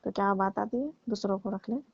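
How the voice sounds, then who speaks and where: A woman's voice: mid-range voice, fairly quick, vocal fry, husky, dry recording. A woman playing a tired, aggrieved housemaid aunty in a comic phone-call skit, grumbling reproachfully in a familiar way. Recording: muffled lo-fi dry phone call recording.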